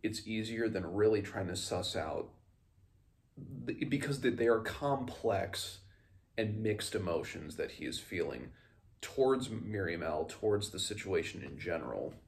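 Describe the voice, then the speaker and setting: A man with a fairly low voice hosts a casual book recap. He talks in a rambling, halting way, often doubling back to rephrase as he comments on the story. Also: animated, slight background noise.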